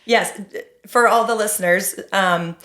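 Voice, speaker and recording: A woman co-hosts an interview podcast in a casual, conversational way. Her voice sits at an average pitch for a woman. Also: unhurried, fairly bright tone. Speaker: podcast co-host.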